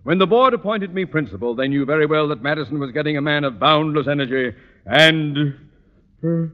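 A pompous, blustering middle-aged principal played by a male actor in an old radio comedy. His fairly high voice swings dramatically up and down with theatrical sarcasm. The recording is muffled and vintage. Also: expressive, a little fast, close to an everyday voice. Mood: pompous, boastful. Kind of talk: 1940s-50s radio comedy acting.

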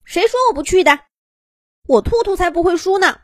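A woman's voice: extremely high pitch, expressive, a little fast, no room sound, studio quality, pitched above the performer's own voice. A woman voicing a proud, stubborn little male rabbit in a children's story, boastful and challenging. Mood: defiant.

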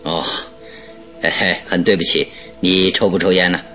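An actor in a radio drama playing a genial, self-assured detective with a teasing, politely probing manner. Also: male voice, low-pitched, steady tone, a little slow, sharp tone, faint music behind. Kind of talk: Chinese radio drama acting.

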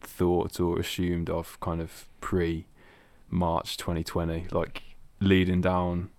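A young man with a deep, low voice talks through his thoughts in a measured way, pausing on ums. His voice is dry and close to the mic. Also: completely flat delivery, a little mellow, no room sound. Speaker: worship leader. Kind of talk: casual chat.

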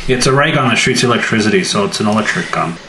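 A man co-hosting a podcast, chiming in with casual, playful remarks in an animated way. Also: fairly low pitch, rapid-fire. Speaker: podcast co-host. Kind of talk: casual chat, short reactions and interjections. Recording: echoey room, clear background noise.

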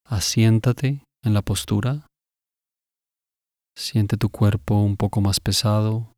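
A man calmly and gently guiding a meditation, instructing with long pauses between phrases. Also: lowish voice, no room sound, clean studio sound. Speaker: meditation guide. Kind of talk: guided meditation.

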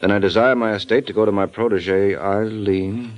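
An actor playing a suave private detective in 1940s radio style, trading dry, flirtatious wisecracks. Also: male voice, fairly low pitch, fairly even intonation, normal speed, close to an everyday voice. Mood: wry. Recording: dull old radio recording.

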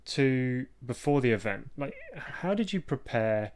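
A man's voice: medium pitch, lively intonation, a little slow. A man hosting a casual show, teasing his co-hosts and plugging with upbeat energy. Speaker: host. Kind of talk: casual podcast chat and interviewing.

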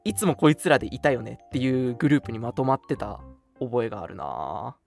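A young man co-hosting a casual radio chat, telling stories in an animated way and acting out short quotes. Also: medium pitch, quick pace, vocal fry. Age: young adult. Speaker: radio show co-host. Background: background music.

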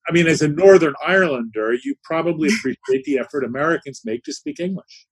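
A man's voice: on the high side, lively intonation, fast, breathy edge. A man interviewed as a guest, answering in a reflective, storytelling way and often checking in with "right?". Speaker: guest, business consultant.

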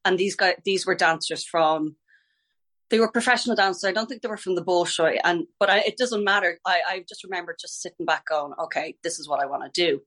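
A woman's voice: fairly low pitch, fairly quick, fairly bright tone. A middle-aged Irish woman, a ballet teacher, chatting in a podcast interview, talking freely, restarting sentences and laughing easily. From Ireland.

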